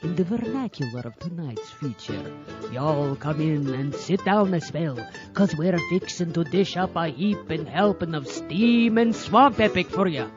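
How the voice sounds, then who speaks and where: A woman's voice: on the low side, animated, a little slow. A woman hosting and narrating a horror show in a campy, melodramatic, scripted style. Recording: muffled lo-fi, loud music behind.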